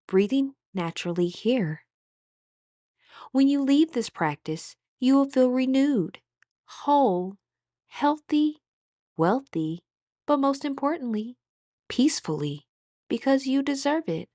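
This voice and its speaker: Woman, slightly high pitch, guided meditation, soothing instructor, unhurried pauses, very dry sound. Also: dry recording. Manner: calm, soothing, measured delivery with pauses.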